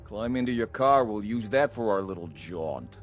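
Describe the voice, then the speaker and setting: A man's voice: lowish voice, lively intonation, normal speed, faint music behind, lightly acted. An actor playing a dim but menacing gangster henchman in a noir radio play, taunting with mock politeness. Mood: gloating menace. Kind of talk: 1940s radio noir acting.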